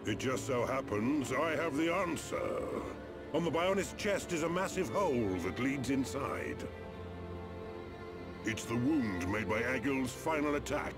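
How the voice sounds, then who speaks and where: A man voicing a calm, assured military tactician in a video game. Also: medium pitch, a little slow, close to an everyday voice. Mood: confident. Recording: loud music over the voice.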